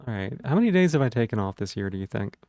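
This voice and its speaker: Guy streaming w a gravelly sore throat voice, kinda dark mellow tone, dry close sound. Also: lowish voice, very animated, rapid-fire, no echo. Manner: rambling, thinks aloud while checking things. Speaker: livestreamer. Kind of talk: casual livestream chat with viewers.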